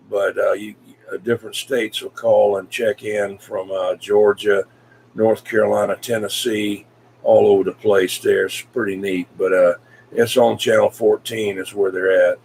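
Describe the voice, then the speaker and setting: A man in casual CB radio chat, talking in a folksy, rambling, hesitant way with frequent pauses. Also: fairly low pitch, little pitch movement, vocal fry, a little hiss or hum. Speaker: CB radio hobbyist, video maker.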